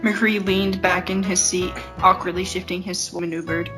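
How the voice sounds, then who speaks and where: A woman's voice: lowish voice, level tone, moderate pace. Giggly woman reading aloud over guitar music. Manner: giggly, breaks off into exasperated asides. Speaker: podcast host reading fan fiction aloud. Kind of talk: informal read-aloud narration with banter. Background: plucked guitar music.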